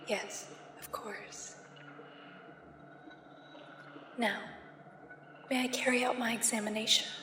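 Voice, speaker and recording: Woman acting as an echoing, uncanny government examiner. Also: a little high. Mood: polite, insistent. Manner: measured, formal, hushed. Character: soothing, uncanny female government examiner at Area 51. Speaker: ASMR roleplay performer. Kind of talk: soft-spoken ASMR sci-fi roleplay. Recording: echoey long reverb, faint background noise. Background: sci-fi ambience.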